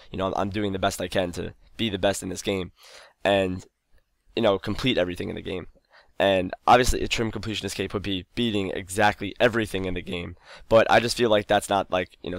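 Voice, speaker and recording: Deep, gravelly young man rambling over gameplay. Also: no room sound. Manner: rambling, loose, lots of fillers and restarts. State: tired, losing his voice. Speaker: gaming channel host. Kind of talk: casual gameplay commentary.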